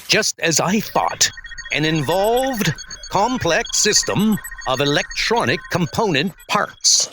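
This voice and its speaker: A man's voice: a little high, noisy background. A man voicing a heroic, grave thunder god with lofty, formal, declamatory speech in a superhero comic dub.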